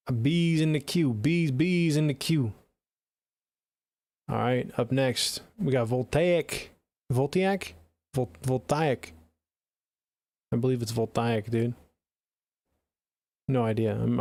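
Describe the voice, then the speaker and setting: A man's voice: mid-range voice, darkish tone, dry recording. A man hosting a livestream, giving casual music feedback and chatting with viewers in laid-back, slangy talk. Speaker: livestream host reviewing submitted music.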